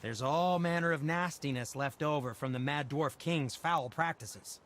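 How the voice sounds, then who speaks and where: Man playing a chatty dwarf, slightly high voice. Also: moderate pace, a bit bright, slight background noise, lightly acted. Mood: dry caution. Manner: polite, dryly understated. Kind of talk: video game dramatic acting.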